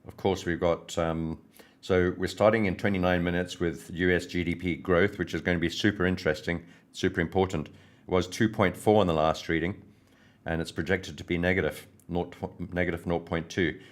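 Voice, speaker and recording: A hoarse man hosting a trading livestream, greeting viewers by name in a friendly, rambling way before going through market news. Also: low-pitched, fairly even intonation, fairly quick. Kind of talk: casual live-stream chat and market commentary.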